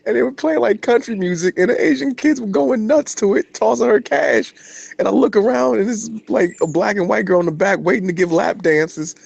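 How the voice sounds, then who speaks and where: A man's voice: sits high, fairly quick, vocal fry, a little mellow, breathy edge, no echo, poor audio. A man co-hosting a podcast, telling laid-back, swearing stories in casual chat. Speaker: podcast co-host.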